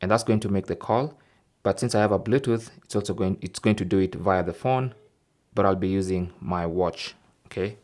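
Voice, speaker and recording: A man's voice: sits low, normal speed, a little mellow. A man presenting an instructional tech tip, explaining step by step and often checking in with the listener. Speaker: tech tutorial host.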